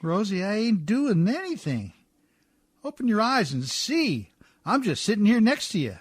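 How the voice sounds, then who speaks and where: An actor playing a rough, warm-hearted, weary riverboat skipper with folksy, ungrammatical speech in a radio play. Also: male voice, high-pitched, very animated, normal speed, no room sound, exaggerated voice. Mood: gentle.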